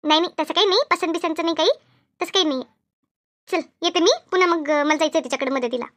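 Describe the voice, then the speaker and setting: Cartoon village housewife played by a woman: extremely high voice, quick chatter, helpful, nosy, repeats herself. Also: fairly bright tone, dry recording, clean studio sound. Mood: reassuring. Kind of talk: animated cartoon comedy dialogue.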